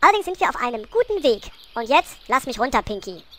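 A woman's voice: a little high, sing-song, quick pace. A woman playing a bossy, scheming little gnome queen in a comic audio play, sassy and exasperated.

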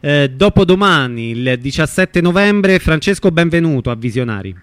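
A man's voice: on the high side, lively intonation, moderate pace, clear, resonant tone, no echo. A man hosting a radio show in a conversational presenting style, introducing and questioning guests. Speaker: radio host.

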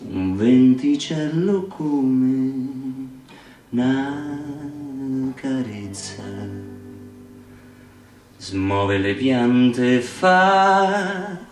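A man's voice: medium pitch, expressive, very slow, long pauses, a little mellow. A man with a Roman accent singing Roman folk songs as part of a radio theatre show. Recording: echoey, somewhat distant from mic. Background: acoustic guitar music.

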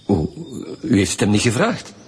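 An actor with a Flemish accent playing a dry, insistent police commissioner in a radio drama, probing with pointed remarks. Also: male voice, fairly low pitch, big pitch swings, moderate pace, slightly rough, exaggerated voice. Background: music in the background.

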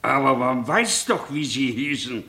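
Mocking excitable banquet guest, 50s radio drama, sharp voice that jumps all over. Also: male voice, mid-range voice, unhurried. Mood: prying, provocative. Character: excitable, sneering male guest at the king's feast. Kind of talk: 1950s German radio drama acting.

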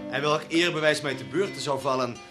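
A man's voice: fairly high pitch, music in the background, close to an everyday voice. An actor playing a melancholy male poet of the 1600s, solemnly declaiming and singing verse. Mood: festive. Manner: slow solemn declaiming of verse, at times sung. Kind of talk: 1970s radio drama.

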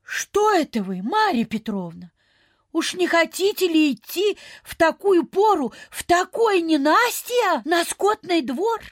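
A woman's voice: very high-pitched, big pitch swings, measured pace, dry recording, clean studio sound, heavily acted. A woman playing a genteel, insistent provincial lady in a radio play, speaking in a mannered way. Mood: scolding, dismissive. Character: genteel, wheedling, sensible lady house guest in provincial Russia. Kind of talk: Soviet-style radio theatre acting.

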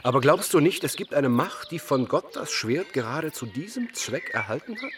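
A man's voice: a little high, big pitch swings, moderate pace. An actor playing a fervent radical preacher in a historical radio drama, intense and insistent as he probes and instructs. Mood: probing. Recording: distant microphone, clearly noisy background.

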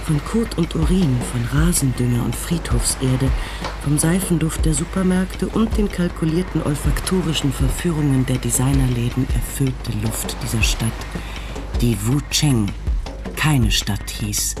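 A man narrating a radio drama in a calm, literary storytelling manner. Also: medium pitch, a little slow, over music.

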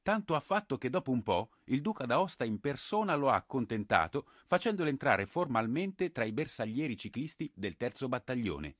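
A man's voice: medium pitch, big pitch swings, fairly quick, few pauses, a bit bright, no room sound, clean studio sound. A man playing the narrator of a war drama, telling the story in solemn scripted narration. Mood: grave, urgent.

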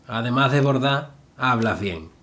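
An actor playing a tender, admiring working-class husband in an audio drama. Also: male voice, medium pitch, lively intonation, unhurried, a bit bright. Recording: clear background noise.

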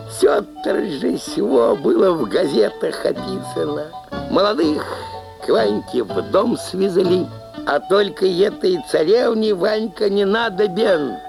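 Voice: medium pitch, big pitch swings, slow pace, vocal fry, rough recording. A storyteller narrating a fairy-tale audio play in folksy dialect with theatrical delivery. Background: music.

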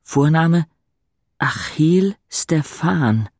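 A man's voice: a little high, lively intonation, drawn-out words, darkish tone, dry recording, clean studio sound, heavily acted. A man acting as a brooding, wry teenage boy who narrates his inner thoughts tersely in a radio drama. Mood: wry, confiding. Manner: introspective, terse. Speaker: first-person narrator.

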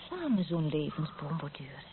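Radio drama wife: affectionate, practical, animated delivery, noisy tinny vintage broadcast. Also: female voice, low-pitched, normal speed. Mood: tender, intimate. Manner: emotional, affectionate. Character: loving, practical wife, a hospital worker expecting a baby. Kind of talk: Dutch radio play acting.

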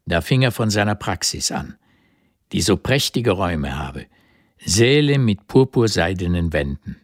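A man's voice: deep voice, sing-song, unhurried, no room sound, studio quality. A man reading literary narration as the narrator of a German radio drama.

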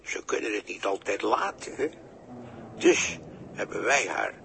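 An actor playing a folksy, evasive, callously matter-of-fact bystander in a halting, colloquial 1960s radio drama style. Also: male voice, fairly low pitch, big pitch swings, vocal fry, sharp tone, exaggerated voice. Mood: grim recollection. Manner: halting, colloquial, with tags and repeats. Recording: noisy early 1960s radio recording.

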